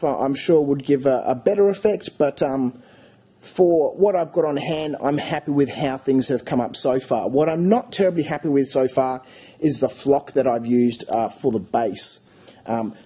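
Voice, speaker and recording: A man with a cold and a croaky voice, casually talking through his hobby work with many fillers and restarts. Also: a little high, mellow. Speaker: hobby channel host. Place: home hobby studio.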